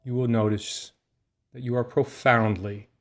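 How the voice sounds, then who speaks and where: A man narrating a guided relaxation meditation in a soothing, lulling manner, leaving long pauses between short phrases. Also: medium pitch, steady tone, slight background noise.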